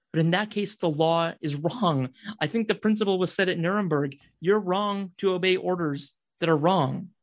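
An intense young man in a radio drama, played by a male actor. He is distraught and angry, a climate radical who presses his case insistently and accuses as he goes. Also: a little high.

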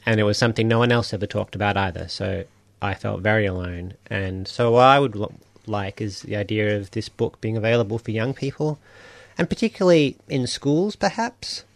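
A man's voice: fairly low pitch, fairly quick, gravelly, few pauses, dry recording. A man, a writer guest speaking in a reflective, earnest way on radio. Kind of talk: radio interview.

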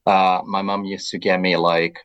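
A deep-voiced man with a Brazilian accent talks through his answers slowly and thoughtfully. He pauses on soft fillers as he gathers each thought. This is a relaxed radio interview guest. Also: clean tone, dull audio. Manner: reflective and measured, many soft fillers.

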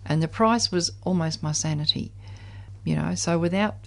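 Older lady around 70, low voice, talking candidly about her own life. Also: normal speed, creaky. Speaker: guest sharing personal story. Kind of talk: personal recollection in group conversation.

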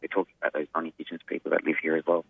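A man, an activist guest speaking earnestly in a radio interview, his voice croaky. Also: a bass voice, completely flat delivery, rapid-fire, dry recording. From Australia. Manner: earnest, emphatic, frequent "you know" fillers. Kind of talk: radio interview over phone. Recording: tinny phone line, dry.

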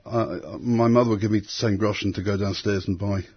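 A man in his sixties, raised in England, thoughtfully recalling his past in an interview, with frequent pauses and restarts. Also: fairly low pitch, steady tone, slightly rough. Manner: hesitant, many restarts and fillers. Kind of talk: reflective conversational storytelling. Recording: dull lo-fi recording.

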